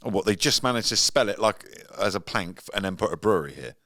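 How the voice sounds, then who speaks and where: A man's voice: fairly low pitch, animated, fast, fairly bright tone, dry recording, clean studio sound. A man in his early forties with a southern English accent, co-hosting a podcast in a cheeky, informal, teasing way. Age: early 40s. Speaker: podcast co-host. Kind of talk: casual podcast banter.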